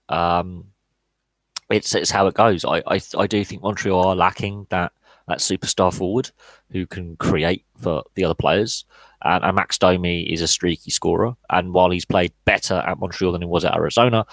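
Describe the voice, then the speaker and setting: A man's voice: sits low, steady tone, moderate pace, a bit bright, dry recording, rough recording. A man in his thirties hosting a live podcast Q&A, talking casually with many fillers and restarts. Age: 30s. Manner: rambling, frequent fillers and restarts. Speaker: podcast host.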